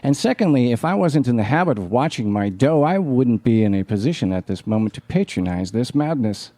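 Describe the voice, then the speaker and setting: An actor playing a blunt, skeptical, penny-pinching husband in a live radio play, with wry, slangy talk. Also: male voice, medium pitch, lively intonation, fairly quick, dark tone, dry recording, natural voice. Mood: defensive, blunt.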